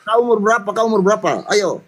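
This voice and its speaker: A man's voice: sits high, quick pace. A man in a heated religious debate, arguing back indignantly. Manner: indignant, argumentative.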